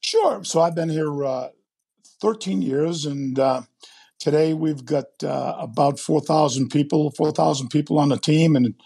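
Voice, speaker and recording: A man from Rhode Island, a trucking executive answering interview questions in a steady, businesslike way with many fillers. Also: fairly high pitch, a little slow, creaky, breathy edge.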